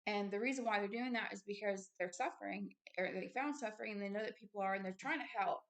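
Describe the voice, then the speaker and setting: Woman giving halting, earnest commentary. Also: medium pitch. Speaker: religious video host. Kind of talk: informal commentary while reading aloud from a book.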